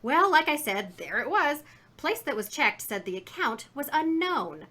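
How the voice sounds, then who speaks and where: A woman's voice: high voice, very animated, unhurried, bright, forceful tone, full character voice. An actress playing a chatty, indignant, folksy woman shopkeeper in a 1950s radio drama. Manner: folksy, garrulous, emphatic.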